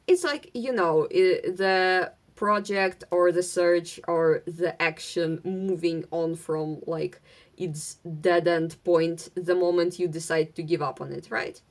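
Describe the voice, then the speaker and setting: A young woman from Ukraine with a Ukrainian accent, chatting casually and rambling at home while sorting craft supplies, halting with many fillers and restarts and a stutter at times. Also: fairly low pitch, steady tone. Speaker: vlogger.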